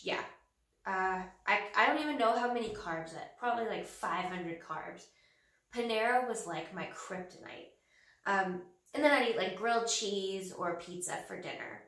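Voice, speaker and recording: Slow-talking stuffy-nosed woman in a room. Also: medium pitch, a bit bright. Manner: earnest, slow and hesitant with many fillers. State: slightly nasal from a cold. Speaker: vlogger. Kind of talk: casual talk to camera. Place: small home office room, indoors. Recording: roomy, slightly distant camera audio.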